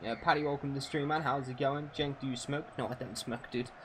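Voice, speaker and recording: Young man in his twenties, mid-pitch voice, loose and profane, chatting to his live audience between plays. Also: fairly even intonation, fast talker, a bit bright, slight background noise. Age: 20s. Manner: chatty, swears freely, answers viewers' questions. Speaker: gaming streamer. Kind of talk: casual live-stream chat over a football game.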